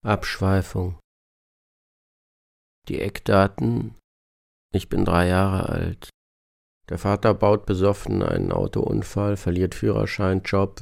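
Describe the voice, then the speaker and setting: An actor playing a detached son in a radio play, delivering a terse, matter-of-fact monologue in clipped fragments. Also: male voice, sits low, creaky, darkish tone, no echo, studio quality, exaggerated voice.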